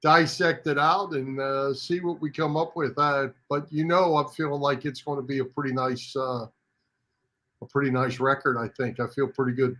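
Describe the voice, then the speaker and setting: A man's voice: medium pitch, steady tone, moderate pace, fairly bright tone. A man hosting a sports radio show in a casual, emphatic way, often repeating his phrases.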